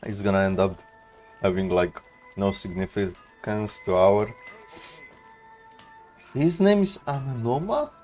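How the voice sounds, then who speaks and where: A man's voice: on the low side, unhurried, pauses often, a little mellow, soft background music. A man giving casual, blunt, sweary commentary as he plays a video game. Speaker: game streamer.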